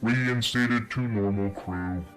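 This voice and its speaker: A man voicing an impersonal spaceship computer making measured, formal announcements in a sci-fi audio drama. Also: lowish voice, faint music behind.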